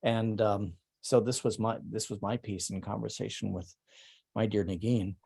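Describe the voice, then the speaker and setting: A middle-aged man walks through his own artwork in an online salon talk. His voice sits fairly low. He talks haltingly, full of ums, trailing off and restarting his sentences. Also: flat delivery, creaky, a little mellow, breathy edge. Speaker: presenting artist.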